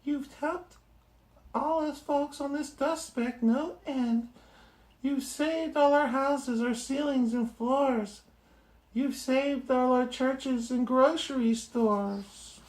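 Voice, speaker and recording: A man voicing a tiny, friendly male mayor of a speck-sized town, with a high piping little voice. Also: fairly even intonation, slow, darkish tone. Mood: grateful. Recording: roomy echo.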